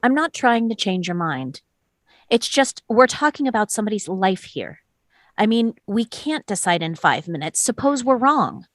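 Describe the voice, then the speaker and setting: A steady, principled dissenter on a jury, played by a woman. The voice is mid-pitched, earnest and measured, recorded dry in a stage drama read. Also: no room sound, close to an everyday voice.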